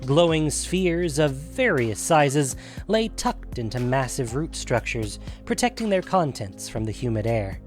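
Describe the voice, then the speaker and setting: A man narrates a fantasy story with a medium-pitched voice. His delivery is measured and dramatic, following a script. Soft music plays behind him. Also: sing-song.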